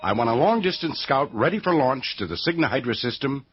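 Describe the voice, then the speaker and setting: A man's voice: medium pitch, lively intonation, slightly rough, soft background music. A man playing a serious, authoritative rebel general in a sci-fi radio drama. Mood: commanding. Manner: brisk, authoritative. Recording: dull lo-fi radio play.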